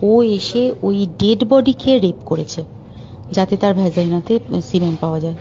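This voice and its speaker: A woman's voice: mid-range voice, steady tone, normal speed, warm tone. A woman on a private phone call, talking informally and urgently with open indignation. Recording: muffled phone call recording with faint hiss.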